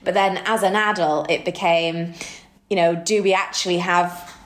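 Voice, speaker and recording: A woman's voice: on the low side, fairly even intonation, normal speed. A woman in her early thirties chatting casually and reflectively as a podcast co-host, recorded in a roomy, echoey space. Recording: roomy, echoey room sound.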